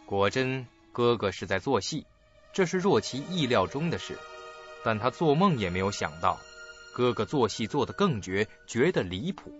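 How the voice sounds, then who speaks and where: A man's voice: medium pitch, very animated, normal speed. A man narrating a radio drama in a dramatic, scripted storytelling style. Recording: muffled dull recording with loud music.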